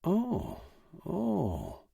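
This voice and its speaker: A man's voice: high voice, wildly swooping pitch, very slow, gravelly, dark tone, husky, no room sound, studio quality, voice pushed up, full character voice. A man acting a politely concerned, uneasy male neighbour in a story, with a hesitant manner. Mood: puzzled.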